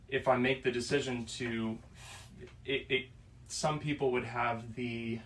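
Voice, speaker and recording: A man in his twenties from Florida, musing slowly on faith and choice in a casual discussion. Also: medium pitch, fairly bright tone. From Florida, USA. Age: 20s. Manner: slow, reflective, pauses mid-thought. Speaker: podcast guest. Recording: distant mic, faint room noise.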